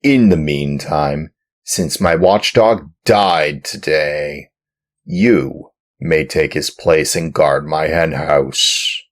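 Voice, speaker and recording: A man voicing a rough, angry farmer in a storybook reading, speaking loudly, gruffly and threateningly. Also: deep voice, lively intonation, slow pace.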